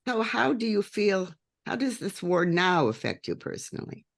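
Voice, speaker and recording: A woman's voice: mid-range voice, sing-song, moderate pace, creaky. A woman hosting a podcast interview, asking direct, curious questions. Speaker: podcast host.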